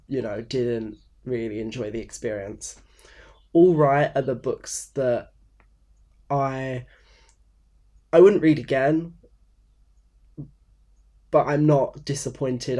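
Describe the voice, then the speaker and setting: A man in his twenties, croaky and blocked up from a cold, rambling casually to camera about books with many fillers and restarts. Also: mid-range voice, dark tone. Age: 20s. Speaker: book vlogger. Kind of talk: casual unscripted talk to camera. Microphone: phone camera.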